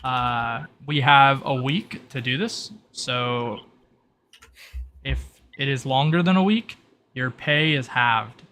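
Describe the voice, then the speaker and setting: The man at the head of an online tabletop session, briefing players in halting bursts with a bright edge, keys clicking in the background. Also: medium pitch. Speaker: game master. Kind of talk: narrating a tabletop game, in and out of character. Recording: noisy mic with keyboard clatter.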